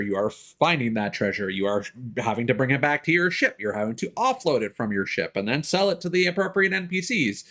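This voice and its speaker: A man working as a game streamer, chatting casually and explaining things in an informal way with some swearing. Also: medium pitch. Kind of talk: casual livestream chat.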